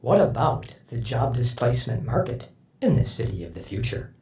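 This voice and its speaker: A man's voice: big pitch swings, normal speed, vocal fry, mellow. A man speaking a sample sentence, with his voice digitally shifted slightly upward. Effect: pitch shifted up about a whole tone. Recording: roomy sample clip.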